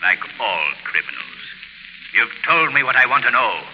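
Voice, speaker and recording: A man's voice: medium pitch, very animated, moderate pace, close to an everyday voice. A man performs a sinister unseen American avenger from a 1930s radio thriller. His delivery swings dramatically, commanding and mocking, ringing in a long reverb on a dull, scratchy old recording.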